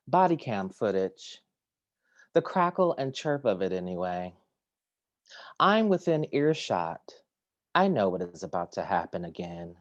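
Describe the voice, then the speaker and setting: A man reading his poems aloud at home over a video call, measured and deliberate, sometimes dropping to a hush. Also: lowish voice, dry recording, highs cut off. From Detroit, United States. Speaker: poet. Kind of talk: poetry reading. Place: home, at a kitchen table.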